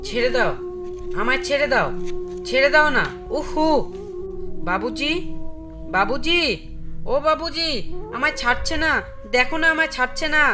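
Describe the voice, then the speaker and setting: A woman voicing a small, excitable, pleading boy in a Bengali radio drama, insistent and repetitive. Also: high-pitched, measured pace, long pauses. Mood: distressed pleading. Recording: music clearly behind the voice.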